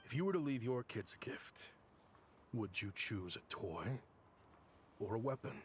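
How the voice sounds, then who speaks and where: An actor playing a gentle, earnest, protective father figure in a game, calm and sincere. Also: lowish voice, normal speed, slight background noise. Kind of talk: game voice acting.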